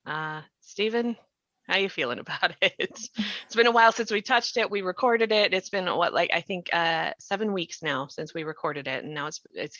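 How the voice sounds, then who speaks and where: Low-voiced woman hosting, slightly dull audio. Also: a bit bright, dry recording. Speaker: host and podcast writer. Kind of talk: casual discussion, moderating.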